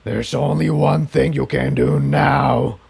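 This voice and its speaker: A man's voice: medium pitch, steady tone, slow, gravelly, darkish tone, husky, exaggerated voice. A man voicing a ruthless alien presence in a storybook reading, commanding and emphatic. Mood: cold, insistent. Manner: imperious, emphatic. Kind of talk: storybook villain voice.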